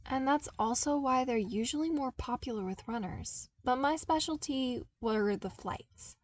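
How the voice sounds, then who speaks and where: A woman's voice: high voice, a little slow, no echo, voice pushed up. A woman voicing a young man, a defensive former smuggler in an audiobook, who explains things plainly and dismissively. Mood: matter-of-fact. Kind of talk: audiobook character dialogue.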